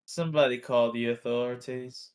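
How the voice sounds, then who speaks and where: A man's voice: medium pitch, steady tone, moderate pace, smooth. A man on a podcast panel bantering casually with crude, joking remarks.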